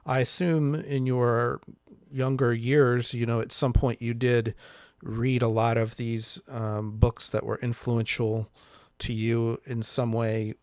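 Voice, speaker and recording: A man co-hosting a book podcast, asking measured, searching questions. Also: mid-range voice, flat delivery, darkish tone, dry recording, clean studio sound. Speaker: podcast co-host. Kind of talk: interview questions.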